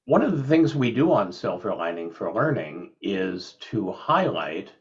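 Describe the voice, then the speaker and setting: Male host, low-ish voice, slow deliberate delivery, muffled call sound. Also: very animated. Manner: slow, deliberate, with many fillers. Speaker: podcast host. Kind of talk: framing a video call discussion. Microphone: video call. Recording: dull lo-fi call audio.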